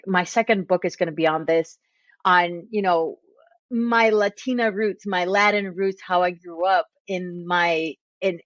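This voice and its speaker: A woman's voice: fairly low pitch, no room sound, muffled recording. A woman in her thirties from Texas and Puerto Rico, hosting a podcast and telling stories about her life in a chatty way, laughing at herself. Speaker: podcast host, realtor. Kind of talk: casual storytelling monologue.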